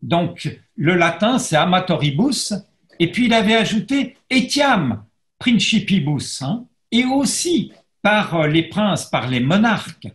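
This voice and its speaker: A man giving an academic lecture as a historian, pausing and restarting often as he reads and translates verse. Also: sits high, very animated, a bit bright, roomy sound. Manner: hesitant, frequent pauses and restarts; self-deprecating about his Latin pronunciation. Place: lecture setup facing a camera, roomy.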